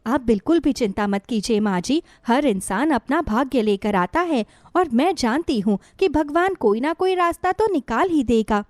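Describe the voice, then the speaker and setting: An earnest, poor but resilient village mother, acted by a woman. Her fairly high voice is recorded dry, with a reassuring storybook delivery. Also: lively intonation, normal speed, few pauses, a little mellow, no echo. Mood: hopeful. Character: hardworking, hopeful, forgiving poor village mother. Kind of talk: storybook dialogue.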